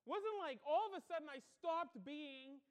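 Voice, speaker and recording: A man's voice: very high-pitched, moderate pace. A man from the Bahamas, middle-aged, preaching as a pastor with personal storytelling and an emphatic, repetitive, questioning delivery. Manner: emphatic, with repeated phrases and rhetorical questions.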